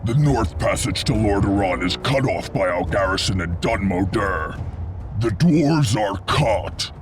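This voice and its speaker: An actor playing a confident orc warlord, measured and declarative, reporting in a video game cutscene. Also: male voice, lowish voice, expressive. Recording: music under the voice.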